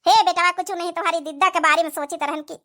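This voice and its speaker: Woman voicing a high-pitched, patient old Awadhi village mother-in-law. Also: fairly even intonation, fast, pushed and bright. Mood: wistful, homely. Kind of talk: Awadhi comedy cartoon voice acting.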